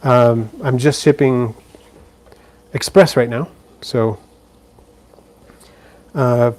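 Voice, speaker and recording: A man chatting through a relaxed live-stream Q&A from beside his terrarium. His voice sits at an ordinary middle pitch. He talks easily, full of "um"s and little restarts. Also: drawn-out words. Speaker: live stream host answering viewer questions. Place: indoors beside a frog terrarium.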